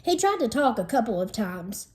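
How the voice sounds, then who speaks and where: A woman narrating a children's storybook in an expressive, dramatizing read-aloud style. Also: fairly high pitch, moderate pace.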